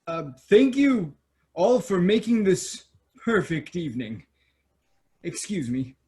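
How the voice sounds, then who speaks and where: An actor playing a vain, self-absorbed young prince in a children's fairy-tale stage reading. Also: male voice, high voice, sing-song, slow, darkish tone, full character voice. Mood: polite.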